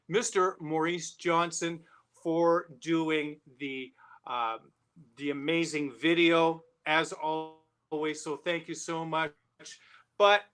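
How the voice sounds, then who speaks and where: A man hosting a live interview show, speaking in an excited, effusive manner. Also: on the high side. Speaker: show host.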